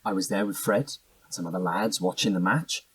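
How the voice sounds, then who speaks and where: A man's voice: low-pitched, fairly quick, breathy edge, no room sound, far from the mic, rough recording. An actor playing an evasive, defensive young British man in a naturalistic audio drama, hesitant and slangy. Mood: reminiscing, evasive.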